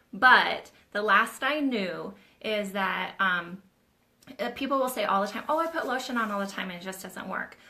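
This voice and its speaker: Bright-voiced woman teaching nail prep. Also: mid-range voice. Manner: chatty, demonstrates while talking, addresses viewers as "you guys". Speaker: nail educator hosting a live Q&A. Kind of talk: casual live-stream teaching.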